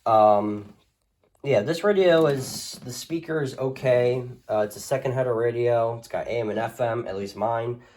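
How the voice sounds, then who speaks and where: A man's voice: medium pitch, normal speed, darkish tone. A man hosts a casual show-and-tell in a slightly noisy home recording with small clicks. His delivery is unscripted and hesitant. He rambles along, backing up and correcting himself as he goes. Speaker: host of a radio collection video.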